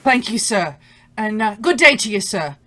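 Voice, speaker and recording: A woman's voice: medium pitch, sing-song, normal speed, fairly bright tone. A woman playing a frightened, muttering working-class shopkeeper in a radio play, on a very noisy recording with clicks. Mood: muttering, wary. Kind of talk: old-time radio play acting.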